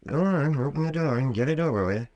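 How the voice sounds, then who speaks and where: An actor playing a cautious, grumbling, folksy small-town doctor in an old-time radio drama. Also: male voice, medium pitch, lively intonation, fast talker, a little mellow, no room sound, lo-fi sound, natural voice. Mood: wary.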